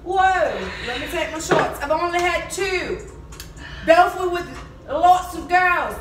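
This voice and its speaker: A young woman chatting loudly during a drinking game at a table in a small apartment. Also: very high-pitched, lively intonation, slow pace. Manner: loud, laughing, freestyle rapping. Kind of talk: casual party game chat. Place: small apartment dining room. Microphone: far camera. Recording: echoey room from far off, music behind.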